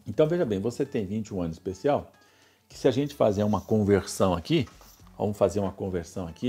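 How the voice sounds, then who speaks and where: A man's voice: lowish voice, a little mellow, breathy edge. A man with a Brazilian Portuguese accent hosting a radio-style talk, explaining social security rights, repeating himself and often checking in with "né?" and "legal?".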